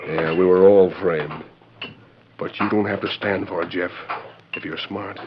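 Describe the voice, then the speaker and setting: Sly, hardened male convict, very low voice, tough and goading, old noisy radio western. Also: steady tone, a little slow. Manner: tough, slangy, conspiratorial. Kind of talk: 1940s radio western acting. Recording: dull noisy 1940s radio recording.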